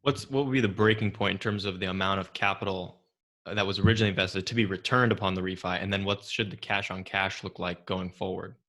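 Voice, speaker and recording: A man hosting an interview, putting measured questions to his guest. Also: low voice, steady tone, pushed and bright. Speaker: interviewer, podcast host.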